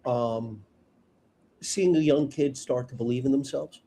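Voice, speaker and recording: A man's voice: mid-range voice, a little slow, a little hiss or hum. A man with a New York accent talking about sales in a casual panel chat, blunt and opinionated. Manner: blunt, opinionated, animated storytelling. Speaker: sales trainer guest.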